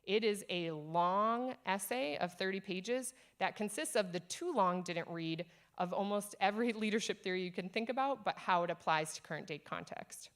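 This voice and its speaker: A woman's voice: mid-range voice, animated, moderate pace, few pauses. A woman speaking from a conference stage, earnest and encouraging as she presents leadership ideas to an audience. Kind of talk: conference keynote talk.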